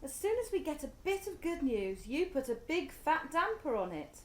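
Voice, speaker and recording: An English actress playing an excitable, fretful, teasing middle-aged mother in a radio play. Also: high voice, moderate pace, roomy sound. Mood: chiding. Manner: animated, fussing, chiding.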